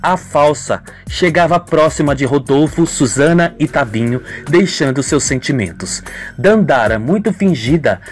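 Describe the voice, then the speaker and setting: A woman's voice: sits low, expressive, measured pace, faint music behind. A woman with a Brazilian accent narrating a scripted radio soap in a melodramatic storytelling manner.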